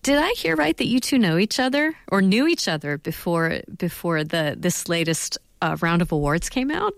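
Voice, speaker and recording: A woman's voice: on the low side, sing-song, normal speed, creaky, dry recording, clean studio sound. An American woman hosting a radio interview, introducing guests and putting questions to them in broadcast style. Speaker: radio host.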